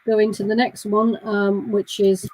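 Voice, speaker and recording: A woman's voice: medium pitch, flat delivery, measured pace, darkish tone, poor audio. Friendly woman hosting a live panel. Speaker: host. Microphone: video call.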